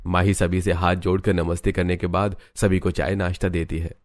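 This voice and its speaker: A man's voice: low-pitched, flat delivery, moderate pace. A man reading scripted story narration with music playing behind him. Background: background music.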